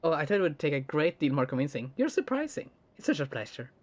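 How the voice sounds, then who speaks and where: A gentle, awkward alien man, read aloud by a streamer playing a game. He chooses each word with stiff formality and trips over a few of them. His tone stays earnest and eager to please. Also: medium pitch, big pitch swings, quick pace. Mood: courteous. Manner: stiff, overly formal phrasing with hesitant restarts. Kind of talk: reading game dialogue aloud during a let's play.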